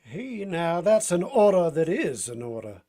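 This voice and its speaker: A man's voice: high-pitched, big pitch swings, unhurried, warm tone. A man playing a folksy, slangy American sidekick in a 1940s-style radio drama. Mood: puzzled, wry. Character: folksy, eager tough-guy sidekick called Doc.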